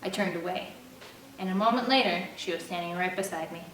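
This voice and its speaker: A woman's voice: fairly low pitch, brisk, a bit bright, far from the mic. A woman reading a novel aloud, giving the first-person narration plenty of expression. The mic sits back in an echoey room. A faint air-conditioner hum stays underneath throughout. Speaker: audiobook reader.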